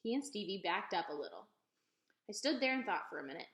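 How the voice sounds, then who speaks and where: Bright, animated woman reading a children's book. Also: mid-range voice, fast talker. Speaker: read-aloud reader. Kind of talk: expressive children's book narration. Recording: echoey, roomy sound.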